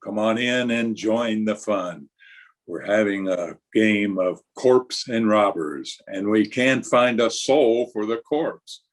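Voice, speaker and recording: A man's voice: lowish voice, takes it slowly. A man playing a ghoulish, wisecracking old-time radio horror host with a sinister, punning, theatrical manner. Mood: ghoulish glee.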